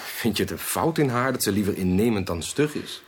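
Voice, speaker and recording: An actor in a Dutch radio drama playing a kind, patient young gentleman with a gentle, indulgent manner. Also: lowish voice, animated, fairly quick, slightly rough. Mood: gently protesting.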